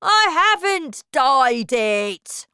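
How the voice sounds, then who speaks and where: A woman reading a children's story, voicing a loud, boastful, self-important father who talks slowly and grandly. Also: lively intonation, smooth, dry recording, studio quality. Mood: angry. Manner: pompous, slow and booming.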